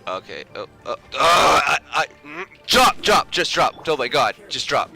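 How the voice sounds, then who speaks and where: A man's voice: a little high, sing-song, unhurried, gravelly, sharp tone. A man streaming a game with casual, profane commentary, muttering reactions as he plays. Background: game music.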